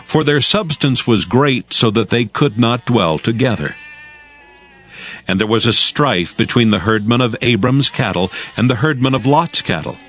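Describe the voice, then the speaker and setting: A man narrating scripture as a scripted dramatized audio reading, in a solemn, measured storytelling manner. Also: medium pitch, lively intonation, a bit bright. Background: music.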